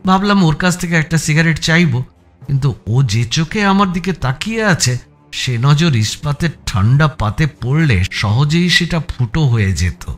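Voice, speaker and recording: A man narrating a suspense audio story as its protagonist, in a measured, dramatic storytelling style. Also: fairly high pitch, expressive. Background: background music.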